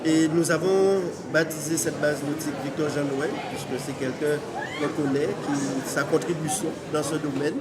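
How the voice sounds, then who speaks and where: A man's voice: on the high side, fairly even intonation, normal speed. A man giving a formal but hesitant interview statement as a local official. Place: busy public event. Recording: loud crowd chatter behind voice.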